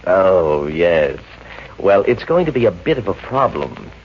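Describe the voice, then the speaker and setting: Animated low-voiced vaudevillian butler. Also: male voice, measured pace, heavily acted. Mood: confiding, jovial. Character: ex-vaudeville comic posing as a proper English butler. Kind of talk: 1950s radio comedy acting. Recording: muffled, noisy old radio recording.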